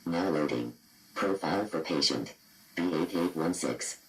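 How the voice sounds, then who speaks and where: A man's voice: low-pitched, expressive, unhurried, fairly bright tone, poor audio. A man voicing a cold, clinical computer system that reads out horror patient files in clipped, impassive fragments. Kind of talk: scripted horror database readout.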